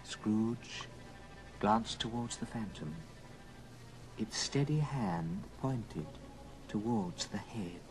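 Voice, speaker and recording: A man's voice: lowish voice, extremely slow, pauses often, rough recording. A man narrating an old radio ghost drama as a grave storyteller, solemn and dramatic. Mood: solemn, eerie. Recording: old record with music underneath.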